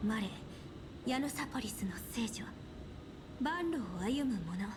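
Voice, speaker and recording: An actress playing a solemn, resolute young female saint in a video game, with a grave, measured, breathy delivery. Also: fairly high pitch, expressive, background noise. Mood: solemn vow. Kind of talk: Japanese video game voice acting. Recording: game music under the voice.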